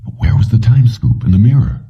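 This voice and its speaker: Deep voiced guy reading a suspense audiobook, measured short phrases, bit dull sounding and distant. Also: big pitch swings, gravelly. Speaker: audiobook narrator. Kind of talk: scripted narration of a suspense story.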